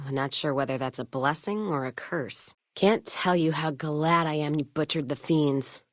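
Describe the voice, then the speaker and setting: A woman's voice: sits low, dry recording, studio quality. A woman playing a tough, blunt, hard-bitten caravan owner in a video game, with a sardonic manner. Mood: wry, blunt. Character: tough, foul-mouthed, whiskey-drinking woman caravan owner of the wasteland. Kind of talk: video game character voice lines.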